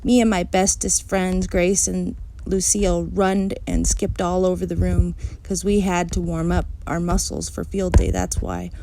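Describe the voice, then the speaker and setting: A woman's voice: fairly low pitch, level tone, unhurried, vocal fry, hardly any pauses, dark tone, a little hiss or hum, rough recording. A woman reading a children's storybook aloud in the first person, as a young child telling the tale. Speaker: storybook reader.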